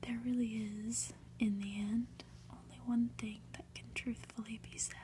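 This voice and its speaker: A woman reading a story aloud for ASMR in a hushed, deliberate, breathy whisper. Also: a little high, flat delivery, a little hiss or hum. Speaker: ASMR reader.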